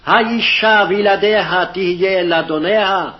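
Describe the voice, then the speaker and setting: A man's voice: high-pitched, very fast. A man formally reciting scripture phrase by phrase, on a dull, lo-fi archival recording. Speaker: scripture reader. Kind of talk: scripted Hebrew Bible reading.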